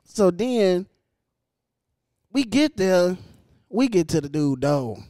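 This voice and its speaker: A man's voice: high-pitched, big pitch swings, slow pace, warm tone, dry recording, studio quality. A man hosting a casual podcast interview in a barbershop, talking in a laid-back, teasing, slangy way. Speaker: podcast host.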